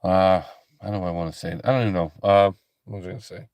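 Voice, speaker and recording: A tired man hosting a late-night ministry livestream, talking slowly and softly with a calm, relaxing voice, with many pauses and restarts. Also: deep voice, no echo. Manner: slow, halting, soft-spoken, many fillers and restarts. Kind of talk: casual late-night livestream chat with viewers.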